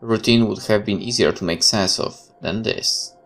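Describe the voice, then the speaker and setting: Low, gravelly man reading a game aloud. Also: normal speed, a bit bright. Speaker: let's player. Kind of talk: reading a visual novel aloud with casual commentary.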